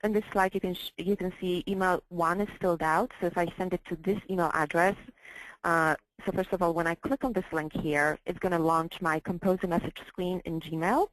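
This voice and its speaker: A woman working as a software trainer, walking through slides in a deliberate, somewhat hesitant way and repeating phrases as she explains. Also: on the low side, steady tone, dry recording, poor audio. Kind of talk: slide-based training walkthrough.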